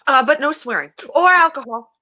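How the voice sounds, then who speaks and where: Woman acting a high, sharp, prim church lady. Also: expressive, fairly quick. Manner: prudish, scolding. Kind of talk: comic staged play reading.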